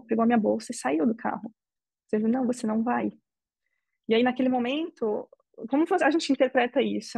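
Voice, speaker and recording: A Brazilian woman interviewee telling her personal story in a measured, reflective way, often ending phrases with a questioning tag. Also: a little high, gravelly, a little mellow, husky, dry recording. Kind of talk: emotional personal testimony.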